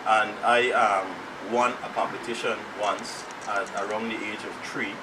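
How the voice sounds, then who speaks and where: A man's voice: fairly low pitch, fairly even intonation, normal speed. A man from Trinidad being interviewed on a TV studio set, talking earnestly and often repeating and restarting his phrases. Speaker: musician guest. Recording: distant mic with noisy studio air.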